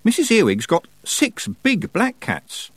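A man's voice: high voice, wildly swooping pitch, takes it slowly, breathy edge, no echo, voice pushed up, exaggerated voice. A man voicing an earnest, persistent young girl apprentice witch in an audiobook, polite but stubborn and questioning. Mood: polite, hopeful. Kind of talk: audiobook character voice.

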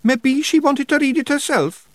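A man's voice: very high voice, moderate pace, dry recording, voice pushed up. A man playing a timid little Scottish fairy. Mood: doubtful. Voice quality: doubtful, hesitant. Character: gentle bookish tiny blue fairy man.

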